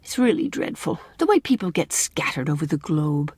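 A woman voicing a cheerful, romantic young bride-to-be in an audiobook, expressive and lyrical with a teasing touch. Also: low-pitched, moderate pace, breathy edge, dry recording, clean studio sound, heavily acted. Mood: chatty. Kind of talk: audiobook character dialogue.

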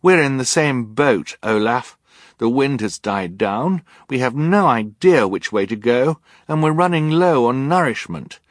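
A male narrator plays an earnest teenage girl in a storybook. The voice lifts in pitch and presses each point firmly, with defiant exasperation and a slightly husky edge. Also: normal speed, hardly any pauses, no room sound, studio quality.

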